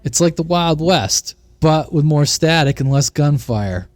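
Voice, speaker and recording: A man's voice: fairly high pitch, animated, a little slow, no room sound. A man narrating a scripted comic short story in a folksy, playful way. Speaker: narrator of CB radio short stories.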